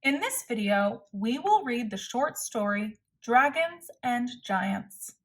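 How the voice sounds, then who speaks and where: Slow, higher-voiced woman reading to children. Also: very animated, rough recording. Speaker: storybook reader. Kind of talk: children's read-aloud narration.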